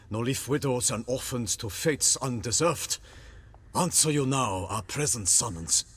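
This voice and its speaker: An actor playing a solemn knight errant in a fantasy game, speaking in a formal, florid, pompous manner. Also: male voice, mid-range voice, a bit bright, husky. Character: solemn, courtly male knight errant bearing a message. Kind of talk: cinematic fantasy game dialogue.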